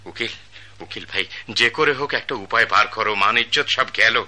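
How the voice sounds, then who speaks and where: An actor playing a rich, anxious, middle-aged father who blusters and loses his temper, in a radio play. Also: lowish voice. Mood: worried. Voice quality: blustering, quick to shout. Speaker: wealthy father. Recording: old radio broadcast.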